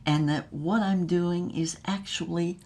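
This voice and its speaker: Slow, low-voiced theatrical film diva. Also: female voice, fairly even intonation, dark tone. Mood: grandiose, exultant. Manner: grandiose, languid, theatrical delivery with drawn-out words. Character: Mynx Devlin, grandiose theatrical female film star reminiscing. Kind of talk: dramatic audio fiction monologue.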